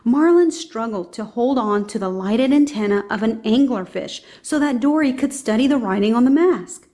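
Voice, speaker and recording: A woman's voice: a little high, animated, normal speed, mellow. A woman reading a children's storybook aloud as scripted narration.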